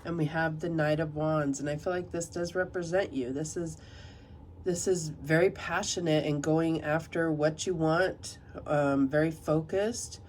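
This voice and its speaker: A woman's voice: low-pitched, steady tone, slight background noise. A woman reading tarot cards and reading passages aloud from a guidebook, slightly nasal from a cold. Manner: soft, unhurried, reads passages aloud. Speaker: tarot reader.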